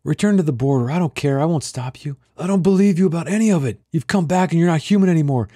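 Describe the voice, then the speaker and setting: Man voicing a high, loud, accusing woman surveyor. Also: expressive, fast, no room sound, clean studio sound. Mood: angry, insistent. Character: wary, desperate woman surveyor shouting in a standoff. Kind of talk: acted dialogue in a novel reading.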